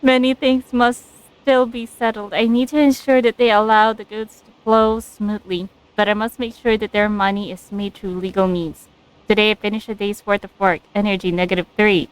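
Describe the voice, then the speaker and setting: A woman's voice: medium pitch, smooth, rough recording. A woman game streamer giving casual playthrough commentary and reading bits of text aloud.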